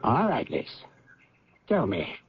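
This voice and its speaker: An actor playing a firm, probing doctor with a husky, gravelly voice, questioning deliberately in radio drama style. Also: male voice, medium pitch, wildly swooping pitch, a little hiss or hum. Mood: firm, pressing. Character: probing, firm doctor confronting a troubled woman. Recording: dull old radio recording.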